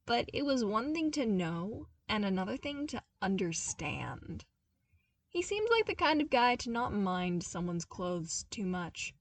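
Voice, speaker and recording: A woman reading a story aloud in an expressive, scripted narration style. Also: medium pitch, dry recording, recorded from a distance. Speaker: podfic narrator reading fan fiction.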